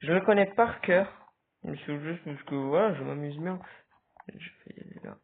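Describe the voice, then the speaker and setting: A man with a cold giving hesitant, casual commentary over a game, with sudden excited outbursts. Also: on the high side, moderate pace, gravelly, slight background noise. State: stuffy with a cold. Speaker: gaming video creator. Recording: tinny phone-like recording.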